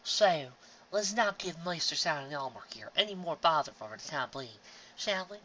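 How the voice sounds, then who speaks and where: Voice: mid-range voice, expressive, moderate pace, muffled recording, a little hiss or hum, voice pushed up, close to an everyday voice. A reader doing a soothing pony mother. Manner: soothing, gentle. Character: calm, motherly middle-aged female pony.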